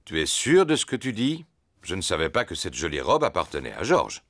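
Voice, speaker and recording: A man voicing a jolly, easygoing daddy pig in a French-dubbed preschool cartoon, with a playful, expressive delivery. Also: deep voice, fairly quick, heavily acted. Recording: music behind the voice.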